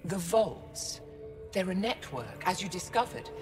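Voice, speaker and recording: A woman's voice: lowish voice, sing-song, a little slow, in a large hall, music in the background. An actress playing a dignified older female alien scholar in a video game, speaking formally and with authority. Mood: grave, explaining. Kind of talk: dramatic video game acting.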